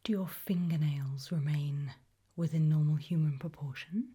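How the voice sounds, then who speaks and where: A woman whispering softly in an ASMR roleplay as a tailor, asking questions in a polite, measured, formal manner. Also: low-pitched. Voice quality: soft, breathy unvoiced whisper.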